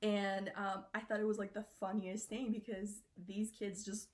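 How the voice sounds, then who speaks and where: A woman's voice: mid-range voice, level tone, moderate pace, a little mellow. Chatty woman, casual interview talk. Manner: chatty, loose, with frequent fillers. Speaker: cosplayer being interviewed.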